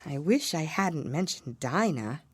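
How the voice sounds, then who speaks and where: A woman's voice: mid-range voice, big pitch swings, unhurried, no room sound, pitched above the performer's own voice. A woman voicing an earnest, polite little storybook girl, eager and wistful in manner. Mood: melancholy. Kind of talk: children's storybook character voice.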